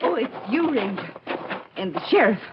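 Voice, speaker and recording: An actress playing an evasive, uneasy farm wife with a hesitant manner in a 1950s radio western, on a scratchy old recording. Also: female voice, a little high, very animated, moderate pace, muffled recording.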